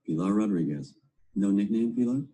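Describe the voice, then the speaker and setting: A man's voice: on the low side, expressive, moderate pace, dark tone, slight background noise. A man narrating textbook audio, announcing exercises and interviewing speakers.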